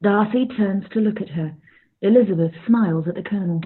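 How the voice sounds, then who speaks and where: A woman's voice: medium pitch, fairly quick, slight background noise, natural voice. A woman narrating a scripted period radio play as a neutral storyteller, on a tinny recording.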